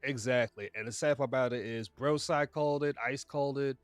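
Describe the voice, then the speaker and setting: A man's voice: medium pitch, steady tone, fairly quick, no room sound. A man hosting a live stream, giving casual, rambling reaction commentary and talking to his chat. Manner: rambling, talks back to chat. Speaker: streamer host.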